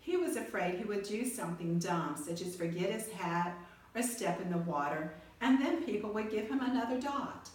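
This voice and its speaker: A woman storyteller reading a children's storybook aloud in an expressive style. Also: medium pitch, moderate pace. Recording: echoey room, far from mic, faint noise.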